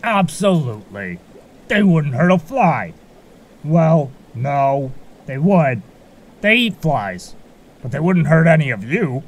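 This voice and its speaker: A man's voice: on the high side, very animated, slow pace, full character voice. A man playing a folksy, laid-back but grumbling keeper of a magical marsh in an amateur audio drama. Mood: nonchalant.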